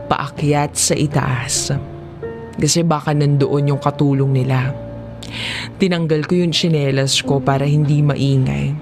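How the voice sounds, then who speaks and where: A narrator with a Filipino accent reading a scripted first-person drama story, audiobook style. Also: medium pitch. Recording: music behind the voice. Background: background music.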